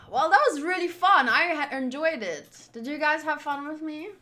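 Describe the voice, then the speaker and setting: A woman livestreamer chatting casually to her viewers in a playful, teasing and animated way. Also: high voice, normal speed. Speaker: streamer hosting a game playthrough.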